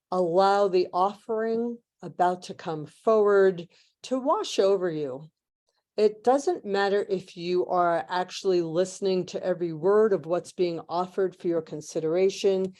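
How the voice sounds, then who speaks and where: A woman leading a guided meditation in measured, hushed phrases with long pauses, like a spiritual transmission. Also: medium pitch.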